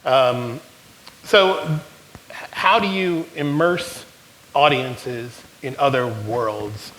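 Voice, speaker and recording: Man, panel moderator, upbeat, halting, many fillers, faint background noise. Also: medium pitch, a bit bright, low-quality recording. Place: conference stage.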